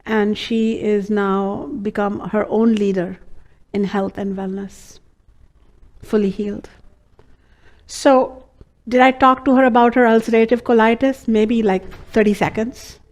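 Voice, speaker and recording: A woman's voice: on the high side, fairly even intonation, measured pace. A woman with an Indian accent teaching a class, telling a case study in an earnest way with many fillers. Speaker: Ayurveda teacher. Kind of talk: lecture to students.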